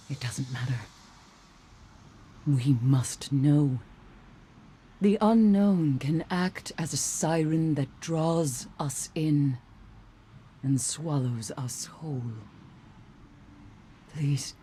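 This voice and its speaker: A very low-voiced solemn game mentor, full of foreboding. She speaks very slowly, with grave and portentous weight, over faint ambient noise. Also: mellow. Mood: pleading. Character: solemn, foreboding woman mentor warning of ancient menace. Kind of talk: scripted video game cutscene acting.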